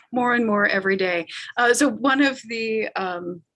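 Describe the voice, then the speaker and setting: A woman's voice: fairly high pitch, unhurried, a bit bright. A woman informally moderating a live talkback, speaking in hesitant, searching phrases. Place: remote video call.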